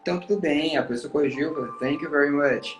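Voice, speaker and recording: Brazilian male English teacher, upbeat encouraging explainer, talks fast, echoey room sound. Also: on the high side, soft background music. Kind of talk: casual conversation giving advice. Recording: roomy echo.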